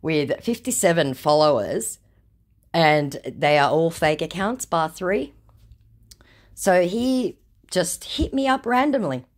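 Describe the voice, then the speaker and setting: Woman host, wry and amused, lively intonation. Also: sits low, slow pace. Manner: amused, sarcastic. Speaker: video host. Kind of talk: casual recap to viewers.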